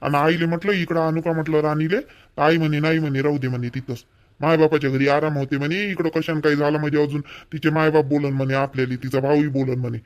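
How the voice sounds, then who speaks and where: A man plays a scolding young village husband in a homemade cartoon. His voice sits high, and he nags bluntly and repetitively in a rural Vidarbha Marathi accent. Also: flat delivery, quick pace, natural voice. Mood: dismissive. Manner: blunt, nagging, repetitive complaining. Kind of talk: amateur cartoon dialogue in rural dialect.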